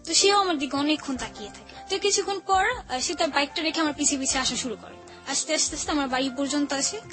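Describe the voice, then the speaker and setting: A woman's voice: high-pitched, fast. A young woman with a Dhaka Bangladeshi accent, telling a personal story informally and quoting remembered conversations. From Old Dhaka, Bangladesh. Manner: colloquial, acts out remembered conversations. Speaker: guest telling her life story. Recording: music playing under the voice.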